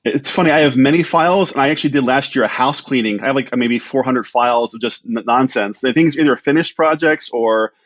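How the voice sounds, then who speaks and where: A man with a medium-pitched voice talks casually as an interview guest, rambling in a self-deprecating way. The sound is close and dry, a little dull. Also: brisk, hardly any pauses, no echo. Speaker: podcast guest, writer.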